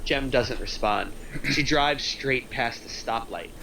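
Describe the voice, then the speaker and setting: A man's voice: mid-range voice, takes it slowly, creaky, sharp tone, a little hiss or hum, poor audio. A man narrating the stage directions of a script at a table read.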